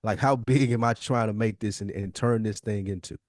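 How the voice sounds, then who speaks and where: A man's voice: lowish voice, a little fast, no room sound, studio quality. A man co-hosting a podcast, giving conversational advice in informal, emphatic speech with frequent repetition. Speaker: podcast co-host.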